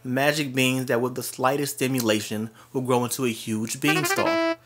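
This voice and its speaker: A man with a mid-pitched voice delivers scripted comic narration. He is sardonic, with slangy and profane phrasing. Light music runs underneath. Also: fairly even intonation, normal speed. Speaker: host and comedic storyteller.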